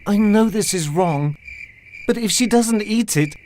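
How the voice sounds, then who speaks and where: An anxious young husband in a children's cartoon, very high for a male. He pleads earnestly and apologetically over faint night-like background noise. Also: lively intonation, measured pace, a little mellow. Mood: fearful pleading. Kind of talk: children's fairy tale cartoon acting.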